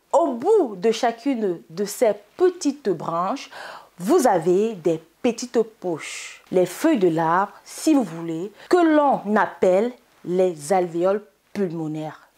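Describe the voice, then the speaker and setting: A woman's voice: medium pitch, a little mellow. A woman presenting an explanatory health lesson as a channel host, in a didactic, step-by-step way. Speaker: host of a medicinal plant channel.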